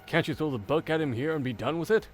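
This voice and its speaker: A man's voice: fairly high pitch, fast, close to an everyday voice. An actor playing an earnest male investigator in a mystery visual novel, with game music behind. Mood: matter-of-fact. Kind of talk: English visual novel voice acting.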